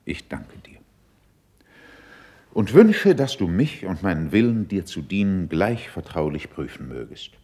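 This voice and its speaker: A cautious, diplomatic courtier in a classical radio drama. His deep, husky voice moves slowly through the verse, swinging widely in pitch for emphasis. He is courteous, composed and persuasive. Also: sing-song, darkish tone, heavily acted. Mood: conciliatory. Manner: deliberate, courteous, emphatic declamation. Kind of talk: classical German stage verse in a radio play.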